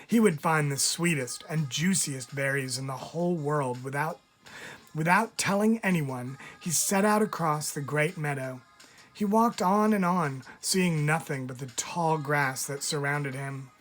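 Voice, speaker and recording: A man, a teacher, reading a picture book aloud in an expressive storytelling style, stressing key words. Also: fairly high pitch, unhurried. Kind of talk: expressive read-aloud narration for children. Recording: music under the voice. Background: music.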